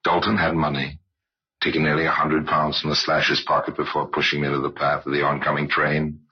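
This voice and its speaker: A man narrating old-time radio crime tales as a grave, sardonic storyteller in a measured, wry, dramatic way. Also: rumbling low, completely flat delivery, breathy edge, exaggerated voice. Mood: grave, suspenseful. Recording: dull, noisy old radio recording.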